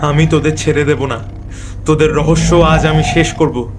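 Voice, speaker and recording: A man's voice: a little high, steady tone, normal speed, over music, lightly acted. Determined, defiant young cartoon hero, voiced by a man. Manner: stubborn, defiant declarations. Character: determined, fearless young village man hunting a ghostly tiger. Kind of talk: dramatic cartoon voice acting.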